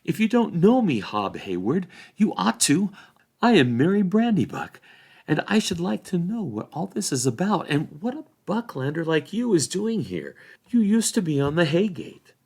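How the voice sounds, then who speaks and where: A man's voice: sits high, sing-song, moderate pace, gravelly, darkish tone, slightly rough, pitched above the performer's own voice, exaggerated voice. A man voicing a bold, indignant young hobbit warrior in a storybook reading. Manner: assertive, scolding.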